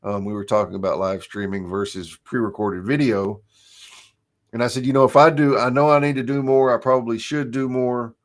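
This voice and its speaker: Croaky-voiced man, casual chat. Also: mid-range voice, a little fast. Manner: relaxed, chatty, laughs often. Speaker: guest, marketing coach.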